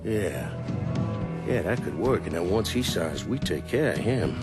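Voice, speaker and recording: An actor playing a volatile, profane mob boss in a video game, blunt and exasperated. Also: male voice, low-pitched, big pitch swings, unhurried, over loud music. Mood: weary menace.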